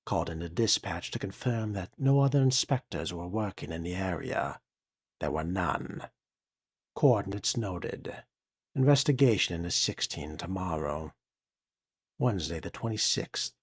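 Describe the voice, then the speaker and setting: Low husky man, measured game narration. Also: expressive, no room sound, studio quality. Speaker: player role-playing a video game. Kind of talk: in-character game narration, reading documents aloud.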